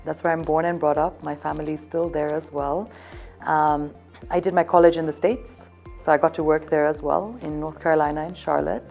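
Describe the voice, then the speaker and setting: A woman's voice: low voice, little pitch movement, moderate pace, vocal fry, darkish tone, soft background music. A woman in her thirties from Zambia, speaking as a hotel events representative. She gives upbeat, promotional interview answers and keeps circling back to one theme.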